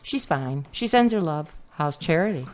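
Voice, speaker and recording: A woman's voice: deep voice, lively intonation, moderate pace, darkish tone. A woman playing a chirpy, doting sister. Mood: affectionate. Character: doting older sister, affectionate.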